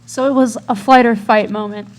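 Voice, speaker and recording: A woman's voice: a little high, fairly even intonation, fairly quick, close to an everyday voice. A young woman acting as a chatty host of a tall-tale interview show in a student radio play. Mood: surprised, curious. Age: young adult.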